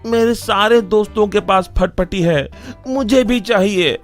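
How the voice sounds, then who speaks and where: A man's voice: sits high, unhurried, soft background music, pitched above the performer's own voice. A man voicing a spoiled, demanding grown-up son who whines and scolds in a children's story. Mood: whining.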